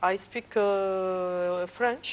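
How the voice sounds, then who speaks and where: A woman's voice: mid-range voice, steady tone, very slow, clean tone. A woman from Morocco with a Moroccan accent, a singer answering interview questions in hesitant, broken English, her first language Moroccan Arabic. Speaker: singer and guest.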